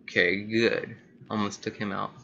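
A man's voice: on the low side, fairly even intonation, moderate pace, pushed and bright, muffled recording. A man giving casual, rambling gameplay commentary, muttering reactions to himself as he plays. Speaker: gaming video host. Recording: clearly noisy home recording.

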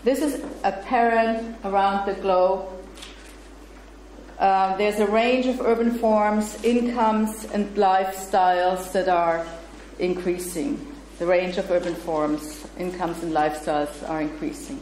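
A middle-aged woman with German as her first language speaks slowly and with care as she gives a lecture. She is picked up by a room mic in a bright lecture hall, so her voice comes back from the walls. A faint hiss sits under her words. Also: medium pitch, steady tone. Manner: laughs easily and chats with the audience between read passages. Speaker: guest lecturer. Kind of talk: academic lecture, partly read from paper. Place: bright lecture room with projector screen, indoors. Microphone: far camera, room mic. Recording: echoey room with faint noise.

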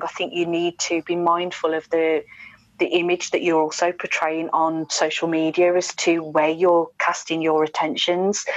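A middle-aged woman, a radio presenter, chatting in an opinionated, emphatic way as an interview guest over a video call. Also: fairly low pitch. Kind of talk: casual podcast interview chat. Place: small room indoors, video call. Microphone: wired earphone headset. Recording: dull, echoey video-call audio.

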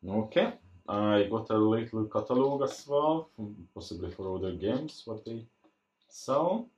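A man giving casual, unscripted unboxing commentary, thinking aloud in a halting way as he presents. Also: fairly low pitch, animated, darkish tone. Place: indoors at a tabletop. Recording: roomy, echoey sound.

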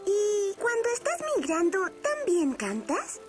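A woman voicing a tiny, curious, excitable ladybug in a children's cartoon, squeaky and eager. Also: slow, over music, full character voice. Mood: curious, delighted.

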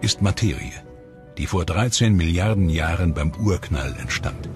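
A man reading scripted documentary voice-over in a measured, grave and dramatic way. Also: deep voice, expressive. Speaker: documentary narrator. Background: music under the voice.

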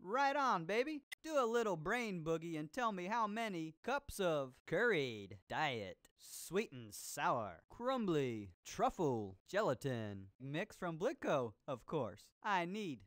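A groovy seventies disco cooking show host for a cartoon game. He is hammy and upbeat, stretching his words slowly and swinging through wide pitch swoops. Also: very animated, a bit bright, heavily acted. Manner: hammy, swinging, drawn-out delivery. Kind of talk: cartoon game voice-over with 1970s disco slang.

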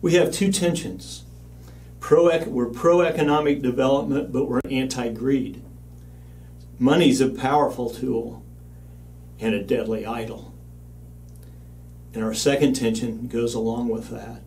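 An older man giving an earnest, measured banquet speech as the leader of a mission organization, standing at a lectern. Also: mid-range voice, fairly even intonation, darkish tone, recorded from a distance. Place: studio with backdrop, at a lectern.

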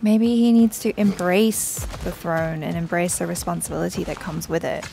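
Woman chatting along to a video game, creaky mid voice, game music playing behind. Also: on the low side, steady tone, moderate pace. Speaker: reaction show host. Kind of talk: casual reaction commentary over a game. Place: small indoor studio setup.

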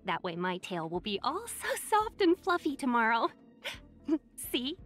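A young fox-woman merchant from a video game. Her voice sits fairly high, courteous and coy, with a playful, silver-tongued persuasiveness. Faint music plays underneath. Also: big pitch swings, unhurried, fairly bright tone. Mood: sweet, coy. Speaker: game character voice. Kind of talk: scripted video game character voice lines.

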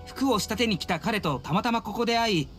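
A man's voice: high-pitched, animated, fast talker, a bit bright. A man voicing an earnest, polite young servant of a noble house in a Japanese anime game, with formal, courteous speech. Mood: earnest, speculating. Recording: studio voice over game music. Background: game music.

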